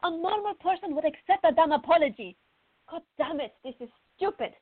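Radio theater part: hot-tempered teenage girl played by a woman, piercingly high, animated, loudly indignant. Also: brisk, narrow, tinny audio. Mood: furious. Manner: yelling, indignant. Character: fiery, furious teenage girl, loud and indignant.

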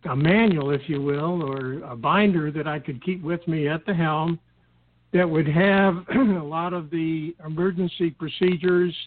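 An older American man speaking in a measured, halting way with frequent throat clearing, explaining things as an interview guest. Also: fairly high pitch. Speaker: podcast guest, experienced recreational boater. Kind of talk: unscripted explanatory interview talk. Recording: tinny phone line with clear noise.

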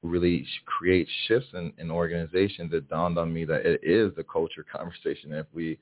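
A man's voice: low voice, little pitch movement, normal speed. A man from Miami, Florida, speaking earnestly as a guest in a radio interview, often restarting his phrases. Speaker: guest, DEI strategist. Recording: tinny phone line.

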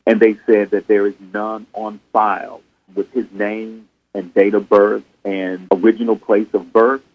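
A man in his fifties, a guest on an interview show, speaking in a measured, soft-spoken way over a video call. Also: lowish voice, narrow, tinny audio. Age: 50s. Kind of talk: casual interview conversation.

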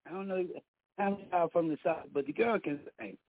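A man, himself a radio host, calling in and hyping up the guest with emphatic, drawn-out praise. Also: high voice, dry recording. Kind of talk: casual radio chat. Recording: dry tinny phone line.

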